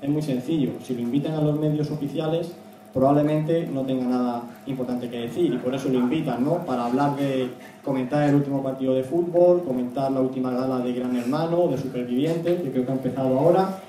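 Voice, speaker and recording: A man with a Spanish accent gives a relaxed talk to a room, using a handheld mic. His voice is dark and mellow, and it comes across a bit far from the mic. The room adds a lot of echo, with a faint hiss underneath. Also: mid-range voice, brisk. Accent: Spanish from Spain. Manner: conversational, frequent "¿no?" tags. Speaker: guest speaker, YouTube analyst. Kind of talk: semi-prepared public talk. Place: small indoor meeting room. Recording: echoey room, distant mic, faint noise.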